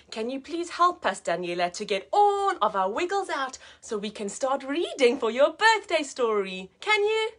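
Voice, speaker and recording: A woman hosting a children's story time like a teacher, expressive and sing-song, speaking directly to her young listeners. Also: high voice. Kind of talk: animated read-aloud for young children.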